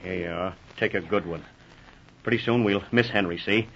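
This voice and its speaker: A man's voice: low voice, moderate pace, fairly bright tone, a little hiss or hum. A man playing a cocky, cold-blooded crook in 1940s radio drama style, talking in tough-guy slang. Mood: smug. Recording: muffled old radio recording.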